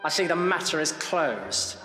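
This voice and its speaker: An earnest male gentleman of period audio drama, acted by a man. He is determined yet restrained, his voice reverberating in a hall with music clearly behind. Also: on the high side, very animated, unhurried. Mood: earnest, frustrated. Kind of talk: audio drama acting of Victorian period fiction.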